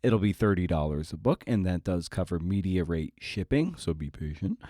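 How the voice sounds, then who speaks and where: A man's voice: low-pitched, lively intonation, moderate pace, dark tone, no room sound, studio quality. A man hosting a podcast, delivering an informal intro monologue with emphatic phrasing and playful asides. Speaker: podcast host.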